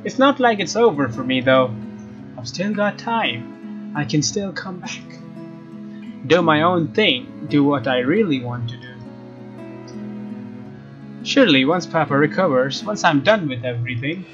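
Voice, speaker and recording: Higher-voiced man reading a game story. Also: lively intonation, unhurried, long pauses. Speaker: let's player. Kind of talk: reading visual novel narration with casual asides. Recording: dull lo-fi sound, music behind. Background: game music with guitar.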